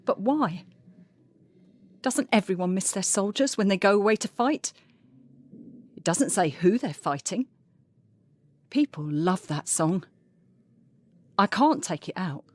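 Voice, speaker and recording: A woman's voice: medium pitch, animated, slow pace, long pauses. A woman playing a guarded but defiant French cabaret singer in an audio drama. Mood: protesting.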